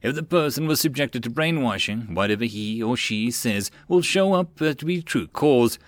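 A man voicing a measured intelligence officer in an audiobook, giving a formal, explanatory report. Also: mid-range voice, expressive, no room sound, studio quality, lightly acted.

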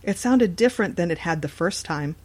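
A woman with a slightly low voice narrates a horror story in the first person. Her delivery is scripted storytelling, set at an ordinary pace. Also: lively intonation, fairly quick, darkish tone.

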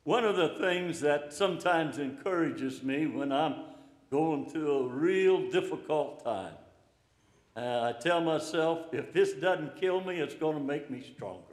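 An older man preaching an informal, encouraging sermon in a slow, plainspoken way. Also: on the high side, animated. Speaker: pastor. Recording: echoey room, hall reverb.

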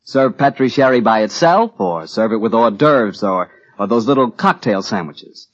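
A man's voice: on the low side, expressive, normal speed. A man working as a 1940s radio announcer, giving folksy, conversational sales patter and host chat. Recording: muffled old radio recording.

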